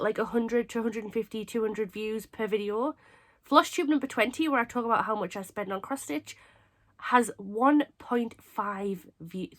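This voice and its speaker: A young woman from England with a cold, sounding hoarse and stuffy, chatting casually and rambling to camera as a craft channel host. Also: on the high side, a bit bright. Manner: chatty, rambling, self-mocking.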